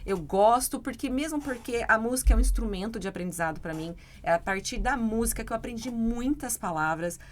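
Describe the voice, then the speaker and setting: A woman's voice: on the high side, animated, fairly quick, hardly any pauses. Earnest middle-aged Brazilian woman. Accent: Brazilian Portuguese. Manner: earnest, reflective, addresses listeners directly. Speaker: English teacher, podcast co-host. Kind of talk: casual chat.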